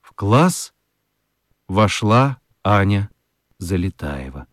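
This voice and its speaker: A man narrating a children's radio play as an expressive storyteller, with deliberate dramatic pauses. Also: lowish voice, dry recording, studio quality. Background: prominent music.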